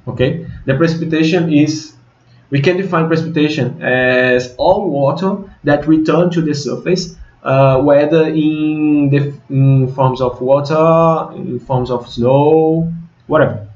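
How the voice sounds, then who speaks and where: A young man from Brazil with a Brazilian accent, a native Portuguese speaker, gives an informal slide lecture in English, haltingly, repeating phrases and checking in with okay and all right. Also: fairly high pitch, fairly even intonation, darkish tone. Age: young adult. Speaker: student researcher giving a tutorial. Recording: dull lo-fi, roomy echo.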